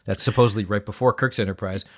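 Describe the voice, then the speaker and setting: A man's voice: on the low side, fairly even intonation, quick pace. A man co-hosting a podcast, giving casual opinions in a wry, blunt way. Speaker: podcast co-host.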